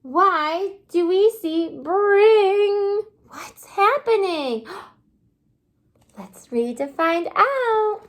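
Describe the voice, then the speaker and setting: A woman kindergarten teacher reading a story aloud to young children, expressive and pausing to prompt them with questions. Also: very high-pitched.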